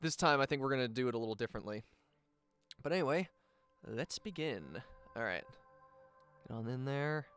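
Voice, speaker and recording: A man's voice: medium pitch, lively intonation, normal speed, long pauses, a bit bright, smooth, faint music behind. Rambling man streaming a game. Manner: rambling, lots of ums and restarts. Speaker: livestream gamer. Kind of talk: casual live gameplay chat.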